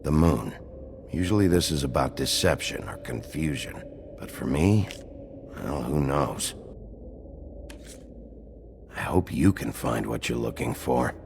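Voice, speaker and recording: A brooding, protective sheriff in a noir video game. The voice is exceptionally deep and gravelly, with lively swings in intonation. It sounds gruff and hard-boiled. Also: male voice, unhurried, pauses often, background noise, heavily acted.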